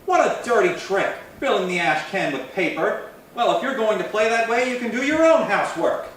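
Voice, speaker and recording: A man's voice: high voice, expressive, moderate pace, fairly bright tone. A man playing a huffy, easily flustered husband in a 1950s radio comedy, recorded from afar in an echoey hall. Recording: echoey hall, distant mic, faint noise.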